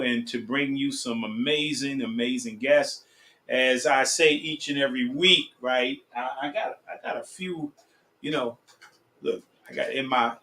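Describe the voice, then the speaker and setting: A man's voice: medium pitch, bright, forceful tone. A man in his sixties hosting his podcast, chatting in an upbeat, rambling way with lots of fillers and restarts. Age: 60s. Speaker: podcast host. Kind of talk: casual chat.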